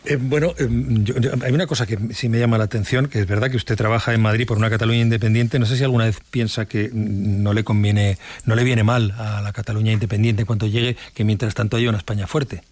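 Halting male interviewer, dry sound. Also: lowish voice, steady tone, darkish tone, no echo. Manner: halting, with many restarts while forming questions. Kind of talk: political interview questions.

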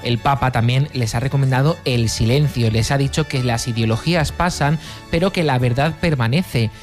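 A man's voice: medium pitch, normal speed. A man reading a scripted news report. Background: faint music.

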